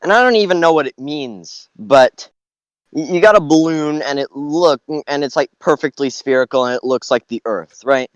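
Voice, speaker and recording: A man chatting casually as a podcast panelist in a hesitant, halting way. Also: a little high, no echo. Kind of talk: casual chat.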